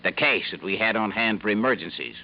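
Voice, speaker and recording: An actor playing a stingy, self-important company boss who answers curtly and indignantly. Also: male voice, lowish voice, animated, brisk, creaky. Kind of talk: 1950s radio drama acting. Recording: dull old radio broadcast with faint hiss.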